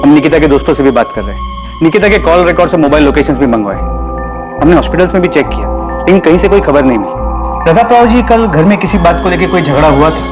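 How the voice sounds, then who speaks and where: An actor playing a businesslike police officer leading an investigation in a TV crime serial. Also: male voice, on the high side, expressive, fast talker, lightly acted. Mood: matter-of-fact. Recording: dramatic music behind the voice.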